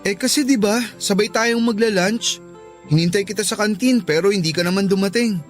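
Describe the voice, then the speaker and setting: A man's voice: high voice, fairly quick, faint music behind, lightly acted. An actor playing an earnest, lovesick young college man in a Filipino radio drama, pleading and persistent, with a Filipino accent. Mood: eager, coaxing.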